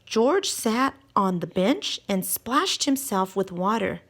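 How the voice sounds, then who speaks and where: Animated woman reading a children's storybook. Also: medium pitch. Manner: expressive, drawn-out emphasis on key words. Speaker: teacher reading a picture book aloud. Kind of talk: animated children's read-aloud with questions to the listeners.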